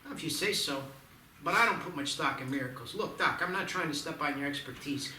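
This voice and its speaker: A doubting small-town sheriff and veteran, read by a man at a table read, with a bright, slightly high voice. He is dry and conversational. The recording is echoey and a little noisy. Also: animated, brisk. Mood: probing, doubtful. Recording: noisy, echoey room, distant mic.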